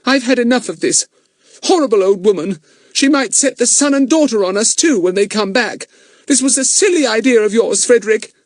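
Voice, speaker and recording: Male reader as a spoiled, high-voiced girl, sulky and superior. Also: animated, normal speed, no echo, clean studio sound. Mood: haughty. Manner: sulky, complaining. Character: haughty, bossy young girl.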